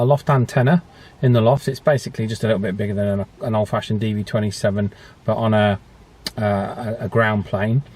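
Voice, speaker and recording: A man's voice: medium pitch, darkish tone. Chatty man, casual hobby vlogger. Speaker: host of a radio repair and testing channel. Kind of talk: casual vlog commentary.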